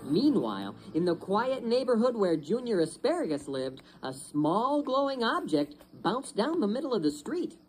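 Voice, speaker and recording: A woman narrating a children's story in an expressive, suspenseful, dramatic way. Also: mid-range voice, normal speed, hardly any pauses, slight background noise, rough recording.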